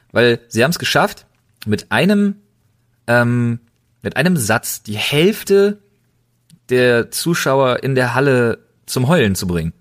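A man speaking as a podcast co-host in casual, colloquial conversation, telling things emphatically and with enthusiasm. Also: mid-range voice.

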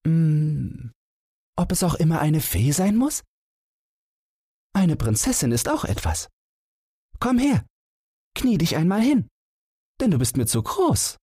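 Man voicing a cheerful, high-pitched young princess. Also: big pitch swings, slow pace, long pauses, dark tone, husky, no room sound, clean studio sound, full character voice. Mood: playful, pondering.

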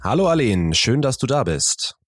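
A man's voice: medium pitch, very animated, normal speed, dry recording, faint music behind. Man hosting an interview, dry sound. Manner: hesitant, lots of fillers and restarts. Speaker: podcast host.

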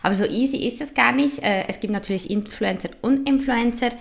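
A woman from Switzerland with a Swiss German accent, chatting as an interview guest, laughing often and filling pauses with "ähm". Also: fairly high pitch, gravelly. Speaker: guest, influencer. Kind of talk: casual interview chat.

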